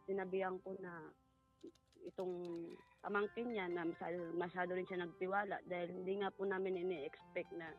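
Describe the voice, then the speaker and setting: A woman with a Filipino accent giving a personal account in a halting, polite way, as a guest in conversation. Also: on the low side, level tone, narrow, tinny audio. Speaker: guest recounting a family story. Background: music.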